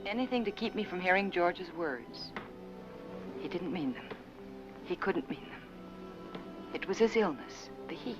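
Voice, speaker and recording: Gentle, anxious wife, vintage drama. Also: female voice, on the low side, animated, measured pace, long pauses. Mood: hurt, reflective. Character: gentle, anxious wife caring for an ill husband. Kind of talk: old-time dramatic acting with confiding narration. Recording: dull vintage recording, music underneath.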